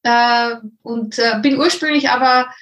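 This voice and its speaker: A woman's voice: on the high side, steady tone, measured pace, fairly bright tone. A middle-aged woman with an Austrian accent, talking casually as an interview guest. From Upper Austria and Salzburg region, Austria. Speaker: interview guest, health and nutrition coach. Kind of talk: casual self-introduction in an interview.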